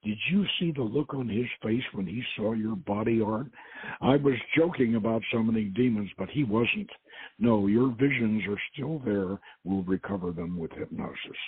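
A man voicing a confident, wry male archaeologist in an adventure novel reading, with a husky voice. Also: medium pitch, animated, moderate pace. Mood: wry, assured. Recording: muffled phone-like line, roomy echo.

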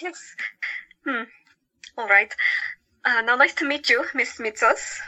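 A woman's voice: on the high side, slow pace, full character voice, rough recording. A woman playing a joyous, playfully theatrical and easily flustered doctor in a 1917 audio drama. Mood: shy, flustered. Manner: animated, exclaiming.

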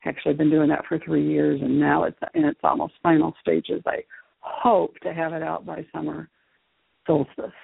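A woman speaking as a guest teacher and author in a radio interview, explaining things in a measured way. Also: low voice, little pitch movement, vocal fry, husky, no echo. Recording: muffled phone-like radio line.

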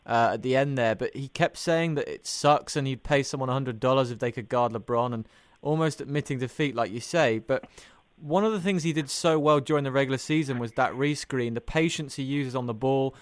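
A man's voice: mid-range voice, a little fast, no room sound. Male podcast interviewer, mid-range voice, close and dry, stat-led sports questions. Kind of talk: interview questioning mixed with prepared sports commentary.

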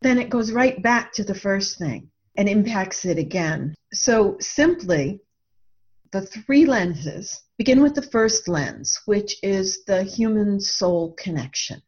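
A woman's voice: medium pitch, expressive, takes it slowly, darkish tone, lo-fi sound. A woman speaking as an author being interviewed, reflective and earnest, telling her story and voicing her own thoughts. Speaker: author and radio drama writer, interview guest.

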